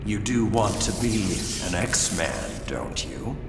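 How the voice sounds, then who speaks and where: A man's voice: lowish voice, big pitch swings, takes it slowly, background noise, exaggerated voice. An actor playing a sly, manipulative male supervillain with a formal, mocking manner in a video game. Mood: slyly conceding. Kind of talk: video game cutscene acting. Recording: distant, echoey hall under loud music.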